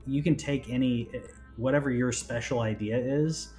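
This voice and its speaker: A man's voice: mid-range voice, normal speed, mellow. Rambling man chatting, guitar music behind. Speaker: podcast host on a gaming news show. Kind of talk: casual conversational chat.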